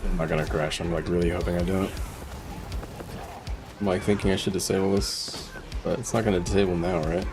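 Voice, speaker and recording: Music plays clearly behind a man with a fairly low voice. He talks casually like a live game streamer, pausing and rephrasing as he thinks out loud while advising his chat. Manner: halting, thinking aloud. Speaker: game livestreamer.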